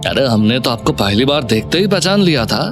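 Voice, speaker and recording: A man's voice: mid-range voice, very animated, fairly quick, music in the background, lightly acted. An actor playing a humble, respectful, encouraging man in an audio drama, speaking politely and earnestly. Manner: polite, refers to himself as "hum".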